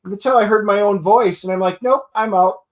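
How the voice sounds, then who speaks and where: A man's voice: high-pitched, fairly quick. A man hosting a casual interview show, chatty and encouraging, with joking asides to his guests. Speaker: show host.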